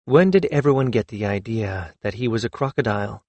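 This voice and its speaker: A man narrating a fantasy audiobook in a measured, even storytelling manner, close to the microphone. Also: lowish voice, no room sound.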